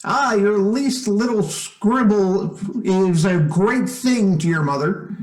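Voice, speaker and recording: A man's voice: sits high, takes it slowly, a little mellow. A man playing a hearty, affectionate father in an old-time radio drama, jovial and slightly fumbling as he speaks. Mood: genial, reassuring. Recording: roomy, echoing stage-like sound.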